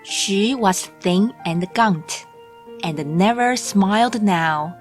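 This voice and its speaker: A woman narrating a children's storybook from a script in a measured, deliberate read-aloud delivery. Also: mid-range voice. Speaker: audiobook narrator. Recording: music bed under the voice. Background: background music.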